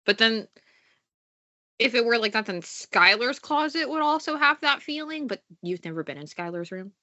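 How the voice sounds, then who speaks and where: A woman's voice: on the high side, animated, moderate pace, bright, forceful tone. A congested young woman co-hosting a podcast, chatting casually, teasing and swearing freely. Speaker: podcast co-host. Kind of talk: casual chat. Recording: muffled lo-fi recording.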